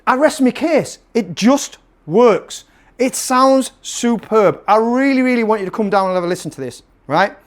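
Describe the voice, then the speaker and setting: A man's voice: sits high, lively intonation, normal speed. Emphatic man reviewing gear. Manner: emphatic, repeats phrases for effect, salesman-like. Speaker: presenter and seller of PA gear. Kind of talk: casual product review to camera.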